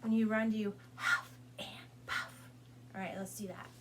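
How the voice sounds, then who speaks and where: A woman's voice: mid-range voice, little pitch movement, normal speed, heavy background noise. A woman leading storytime as a children's librarian, reading and singing along in a sing-song, coaxing way as if to small children, with questions for them.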